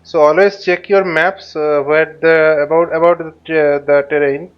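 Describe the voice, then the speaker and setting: A man giving an informal flight simulator tutorial, explaining haltingly as he thinks aloud. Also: a little high, fairly even intonation, clean tone, dull audio. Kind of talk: informal tutorial commentary while flying a simulator.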